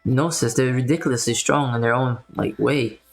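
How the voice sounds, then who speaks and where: A woman with an extremely low voice chats casually as she plays a video game. She thinks out loud informally, streamer style, with faint music behind her. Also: moderate pace, vocal fry. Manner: informal, musing aloud. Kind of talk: casual gameplay commentary.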